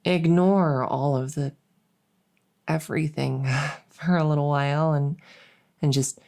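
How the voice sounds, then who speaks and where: Woman acting a creaky-voiced, halting young princess. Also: low voice, fairly even intonation, a little mellow. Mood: weary, confiding. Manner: hesitant, halting, confiding, with trailing pauses. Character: flustered, world-weary young princess, heir to a throne. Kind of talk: intimate audio roleplay addressed to the listener.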